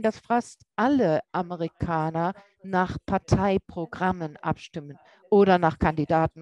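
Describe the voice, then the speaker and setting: Woman, simultaneous interpreter, halting, self-correcting, mid pitch, dry close sound. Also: a little mellow, no echo. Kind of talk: live interpretation of a lecture.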